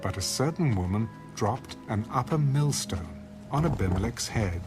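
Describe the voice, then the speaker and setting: Male narrator, low register, solemn measured scripture reading with music underneath. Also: animated. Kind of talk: dramatized audio Bible narration. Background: background music.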